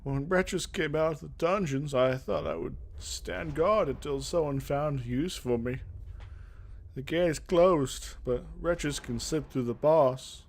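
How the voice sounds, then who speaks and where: A man voicing a scarred young fantasy game warrior, bitter but earnest and eager to prove himself, with a solemn, measured, dramatic delivery. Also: a little high, lively intonation, heavily acted. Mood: dutiful, glum.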